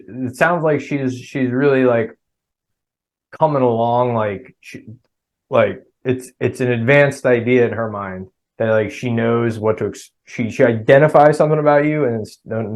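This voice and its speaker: A middle-aged man with a New Jersey accent talks in a loose, rambling way and keeps restarting his sentences. His voice sits at a mid pitch, and this is relaxed podcast conversation. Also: measured pace. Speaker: podcast guest. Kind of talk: casual chat.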